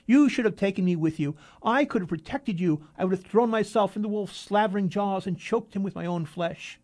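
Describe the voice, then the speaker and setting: A tipsy young man with a flair for the dramatic, rolling out lines of poetry as if on stage, as a narrator puts him on. His voice rides a little higher than the storyteller's own and swells louder as he declaims, with a mellow, warm tone. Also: fairly quick. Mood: overwrought. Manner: grandiose, declaiming verse. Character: drunk, theatrical young man quoting poetry.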